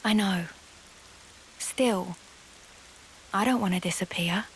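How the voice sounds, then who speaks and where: A woman's voice: medium pitch, slow pace, slight background noise, rough recording. A woman playing a cheeky but caring young female soldier in a game cutscene, blunt and informal. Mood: gentle, frank. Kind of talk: video game cutscene acting.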